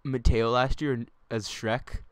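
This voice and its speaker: A man's voice: medium pitch, little pitch movement, a little slow, smooth, no room sound. A young man hosting a casual chat, rambling and joking with lots of fillers. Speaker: host.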